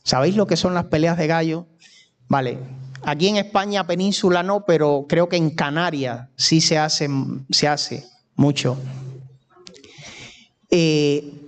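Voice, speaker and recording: A male preacher from Cuba with a Cuban accent, giving a sermon at an easy, slow pace. His voice sits a little high, and he talks in a conversational way, stopping to put questions to his listeners. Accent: Cuban Spanish. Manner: unhurried, conversational preaching with audience asides.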